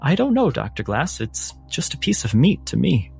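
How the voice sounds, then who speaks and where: A man's voice: medium pitch, big pitch swings, moderate pace, creaky, darkish tone, slightly rough, faint music behind, voice pushed up, exaggerated voice. A man voicing a proud, plainspoken female surgeon with a theatrical streak in a tabletop game. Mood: unimpressed.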